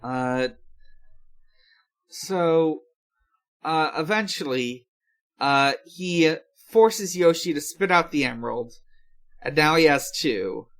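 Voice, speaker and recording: Male podcast co-host, slightly high voice, unhurried and halting, casual recap style. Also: lively intonation, a bit bright. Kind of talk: casual episode recap with asides.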